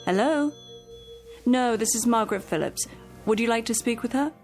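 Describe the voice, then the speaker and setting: High-pitched, gravelly female companion, played by an actress. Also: darkish tone, natural voice. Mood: polite, reassuring. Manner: brisk, coaxing, chiding. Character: practical, coaxing, exasperated female companion to an old lady. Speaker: companion, caregiver. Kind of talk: radio drama acting. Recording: radio drama, faint music underneath.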